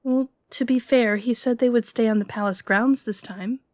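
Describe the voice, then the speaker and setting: A woman's voice: a little high, fairly even intonation, normal speed, no echo, close to an everyday voice. A woman playing an outspoken, gossipy young lady-in-waiting in a romantic fantasy audio roleplay, informal, teasing and openly indignant. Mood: sheepish.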